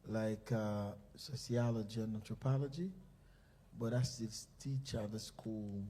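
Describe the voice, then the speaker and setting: A man's voice: fairly low pitch, steady tone, a little slow, mellow, a little hiss or hum. A man, vice president of a ministry, introducing himself on a church panel in a cordial, slightly hesitant way.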